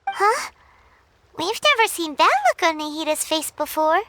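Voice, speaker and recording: An actress voicing a tiny, childlike fairy companion in a video game, squeaky, cutesy and eager. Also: female voice, big pitch swings, moderate pace, noisy background. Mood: puzzled. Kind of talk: scripted video game voice acting.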